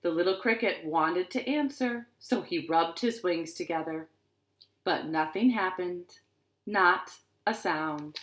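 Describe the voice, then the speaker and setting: A woman reading stories and rhymes aloud to young children in an expressive, deliberate way, recorded in a living room. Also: fairly low pitch. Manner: expressive, deliberate, asks the listeners questions. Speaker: children's librarian storyteller. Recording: roomy, echoing living-room sound.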